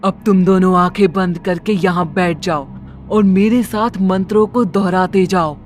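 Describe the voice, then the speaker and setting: A woman's voice: on the low side, fairly even intonation, normal speed, slight background noise, close to an everyday voice. A woman voicing an evil, scheming sorceress in a horror cartoon, with a commanding and sinister manner. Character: evil scheming female occult sorceress who is secretly a witch. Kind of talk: Hindi horror cartoon story acting.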